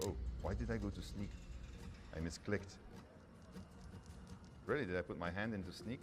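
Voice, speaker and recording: A man's voice: low voice, moderate pace, noisy background. A man presenting live gameplay, thinking aloud in a hesitant, self-deprecating, wryly understated way. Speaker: game developer presenting a live gameplay demo. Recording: game music behind the voice. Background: video game music.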